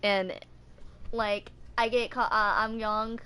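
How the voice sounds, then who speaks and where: A woman's voice: a little high, level tone, takes it slowly, pushed and bright, clean tone. A woman in her twenties with a young-sounding voice, casually reading aloud and chatting. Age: 20s. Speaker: video maker reading game stories. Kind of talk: casual read-aloud with chat. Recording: noticeable background noise.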